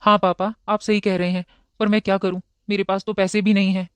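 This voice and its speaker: A man voicing a downcast young husband in a cartoon, speaking in a quiet, subdued way. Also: sits high, flat delivery, brisk, clear, resonant tone, dry recording.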